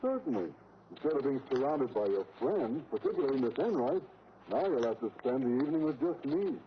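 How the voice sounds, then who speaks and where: A man's voice: mid-range voice, lively intonation, fairly quick, close to an everyday voice. An actor playing an easily flustered, blustering man in a theatrical, exclamatory 1940s radio comedy style. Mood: bashful. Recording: muffled, noisy old radio recording.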